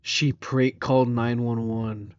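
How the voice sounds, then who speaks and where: A man's voice: medium pitch, little pitch movement, slow, a little mellow, no echo. A man giving casual, slangy reaction commentary, incredulous and prone to swearing. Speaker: reaction video host. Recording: dry, slightly dull microphone sound.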